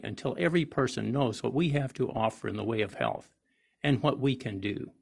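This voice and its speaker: A man reading a persuasive article aloud in an oratorical style, with emphatic, declamatory and deliberate phrasing. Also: medium pitch, creaky. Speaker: reader of a historical article.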